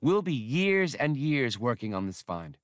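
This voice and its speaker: A man's voice: mid-range voice, sing-song, unhurried, no room sound, studio quality, voice pushed up. A man voicing an eager, fussy male alien academic with precise scholarly phrasing in an audiobook.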